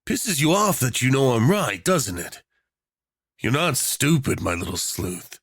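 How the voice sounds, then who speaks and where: Male actor as obsessive tyrant, audio roleplay villain, mock-polite, playful menace, swooping pitch, low fry, flirtatious drawl. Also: sing-song, measured pace, a bit bright, dry recording, exaggerated voice. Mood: smug, menacing. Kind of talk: romantic villain ASMR roleplay.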